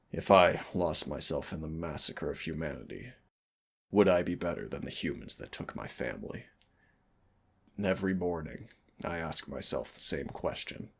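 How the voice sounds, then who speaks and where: A man playing a brooding, tender, winged demon prince in a romantic audio roleplay, speaking in a theatrical, intimate way with a deep, husky, gravelly voice. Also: exaggerated voice. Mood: solemn, resolute. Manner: slow, theatrical, teasing endearments and solemn declarations.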